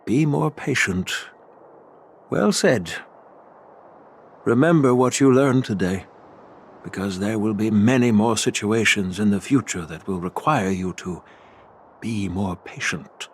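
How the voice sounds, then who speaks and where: A man's voice: fairly low pitch, a little slow, long pauses, a little hiss or hum. An actor playing an elderly general and doting grandfather in a video game, patient and easily amused. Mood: calm, fond. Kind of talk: scripted game voice acting.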